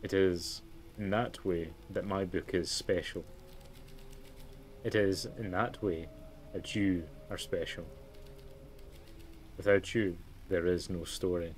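A man reading as a formal, measured storybook narrator in a story game. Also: low voice, fairly even intonation, slight background noise. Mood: measured, solemn. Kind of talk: scripted narration.

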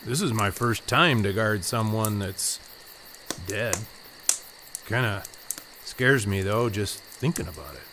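A man's voice: fairly low pitch, slow, pauses often. A man playing a jumpy, inexperienced Roman guard in an amateur radio drama. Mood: uneasy. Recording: clearly noisy background ambience.

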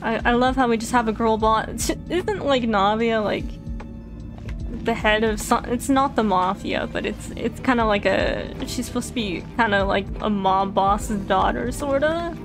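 A woman's voice: a little high, a little slow. A young woman from Texas with a light southern accent, chatting casually and giggling while playing a game. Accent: Texan. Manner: giggly, chatty, frequent laughs. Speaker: VTuber streamer. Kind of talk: casual gameplay chat. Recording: game music behind. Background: lofi background music.

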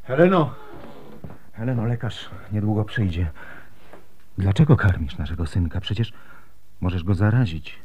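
An actor playing a desperate, devoted soldier husband with a temper, earnest and intense, in a Polish radio drama. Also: male voice, lowish voice, animated, moderate pace, long pauses, a little mellow, slight background noise, close to an everyday voice. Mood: worried, tender.

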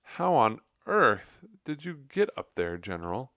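A man's voice: medium pitch, sing-song, measured pace, a bit bright, dry recording. Man as an earnest, emphatic storybook mouse. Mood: astonished. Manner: emphatic, reproachful. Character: earnest, stern male mouse, scolding gentleman of storybook.

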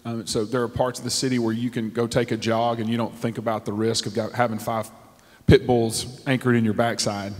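A man on a discussion panel, speaking in a measured way with frequent ums, into a handheld mic on a theater stage. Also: medium pitch, flat delivery. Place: large theater stage with audience. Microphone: handheld microphone. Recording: long hall reverb from a theater.

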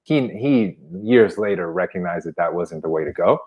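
Low-voiced man in casual conversation. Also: expressive, normal speed, a little mellow, lo-fi sound. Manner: informal, anecdotal, frequent "you know". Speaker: podcast guest. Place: indoor room at home, video call. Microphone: wired earbuds on a video call.

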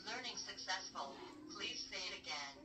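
A synthetic woman's voice giving formal, measured device prompts in short stock phrases. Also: medium pitch, lively intonation, sharp tone, far from the mic, soft background music. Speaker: voice assistant of a robot kit. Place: small device speaker in a meeting room. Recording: echoey small speaker, picked up from afar.

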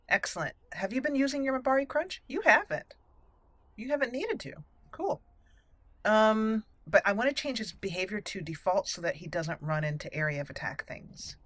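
A woman's voice: medium pitch, fairly bright tone, no room sound. Casual woman narrating a video game. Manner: playful, talks to game characters. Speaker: gaming channel host. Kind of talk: casual let's play commentary.

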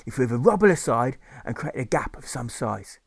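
A man's voice: medium pitch, very animated, moderate pace, vocal fry, breathy edge, no echo, studio quality, heavily acted. A man voicing a boastful army drill sergeant telling a hearty war tale with tag questions.

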